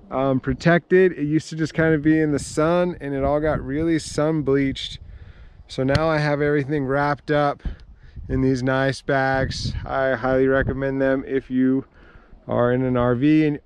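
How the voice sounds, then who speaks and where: A man in his thirties casually reviewing gear outdoors beside his van, relaxed and full of fillers. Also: medium pitch, slight background noise. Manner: relaxed, filler-heavy, easygoing. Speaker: vlogger.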